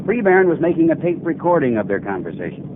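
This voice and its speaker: A man's voice: on the high side, very animated, a little hiss or hum. Very muffled, earnest old-radio company man. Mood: troubled. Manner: halting, emphatic, explanatory. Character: earnest, troubled male insurance company man, American. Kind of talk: 1950s radio drama acting.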